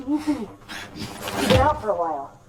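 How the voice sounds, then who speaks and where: A woman's voice: a little high, big pitch swings, moderate pace, background noise. A woman playing a wry, caring and urgent female AI companion in a cinematic video game. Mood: urgent, reassuring. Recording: loud music and game sound effects behind.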